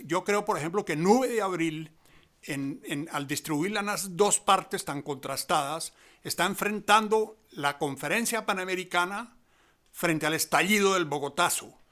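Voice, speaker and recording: Halting man, bright higher voice. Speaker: theatre commentator. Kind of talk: spoken analysis.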